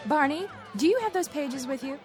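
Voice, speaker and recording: A woman's voice: high-pitched, lively intonation, a little fast. An actress in a radio drama playing a flippant, flirty, party-loving wife who talks in period slang, with music behind her. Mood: teasing scornful.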